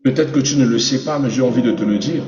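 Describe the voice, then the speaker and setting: A man preaching a sermon as an apostle, telling a personal testimony and rising to high emphatic peaks as he addresses the congregation. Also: fast talker. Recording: roomy hall reverb, distant mic, dull sound, music behind.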